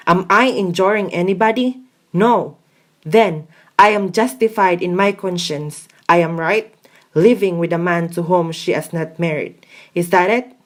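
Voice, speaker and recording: A woman's voice: fairly low pitch, moderate pace, natural voice. A woman playing a conflicted, defensive engaged man in an amateur radio drama, arguing.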